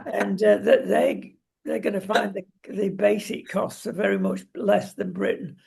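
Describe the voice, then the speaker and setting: A talk show presenter with a gravelly, husky voice, giving wry, opinionated takes in conversational talk about current affairs. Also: mid-range voice, sing-song, measured pace, darkish tone.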